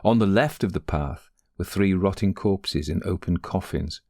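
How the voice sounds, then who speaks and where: Deep, gravelly male ghost-story narrator. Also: dark tone, no echo, studio quality. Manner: deliberate, literary storytelling.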